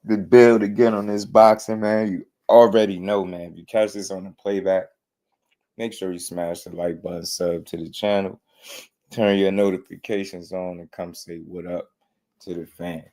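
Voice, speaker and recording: Deep, slightly hoarse male streamer. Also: little pitch movement, moderate pace, warm tone. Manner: loose slang, hypes and greets chatters. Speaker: boxing show host. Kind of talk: casual livestream chat and commentary.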